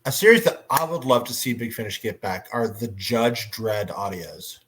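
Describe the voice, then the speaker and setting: A man moderating a casual convention panel, chatting in a hesitant, pausing way. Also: lowish voice, animated, fairly bright tone.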